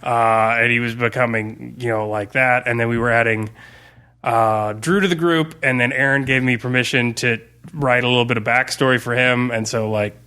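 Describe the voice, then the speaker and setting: A man's voice: medium pitch, fairly even intonation, moderate pace, pushed and bright, clean tone. A man speaking as a game master, talking casually and looking back on a campaign in a podcast discussion. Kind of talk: casual chat, tabletop gaming post-mortem podcast.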